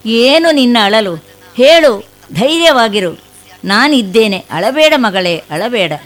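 A woman voicing a kindly, fatherly old male sage in a devotional radio play, speaking slowly and soothingly. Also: a little high, lively intonation. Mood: concerned. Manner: slow, soothing, consoling. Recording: faint music behind.

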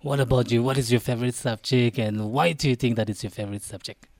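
Polite radio host, dry sound. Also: male voice, medium pitch, fairly even intonation, fast, no echo. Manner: formal, polite, addresses listeners directly. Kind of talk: live radio interview.

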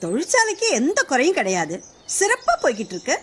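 Woman acting a high-voiced, proud young businesswoman. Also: huge pitch swings, fairly quick. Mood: indignant, offended. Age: young adult. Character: proud, touchy young female factory owner. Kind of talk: scripted drama dialogue.

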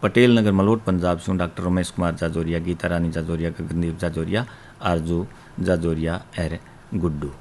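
A man's voice: deep voice, steady tone, moderate pace, a little mellow, a little hiss or hum. A man with a Rajasthani accent hosting a radio request show, reeling off long lists of listener names and places. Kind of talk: reading out listener letters.

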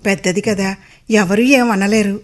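A woman's voice: mid-range voice, fairly even intonation, normal speed, close to an everyday voice. A woman playing a resigned village neighbour sharing gossip with a coastal Andhra accent in an audio drama.

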